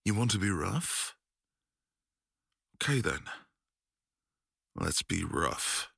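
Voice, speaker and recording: Slow, gravelly, husky-voiced man. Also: low voice, expressive, sharp tone, no echo, clean studio sound. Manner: dominant, commanding, often whispered. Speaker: audio roleplay performer. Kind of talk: intimate audio roleplay.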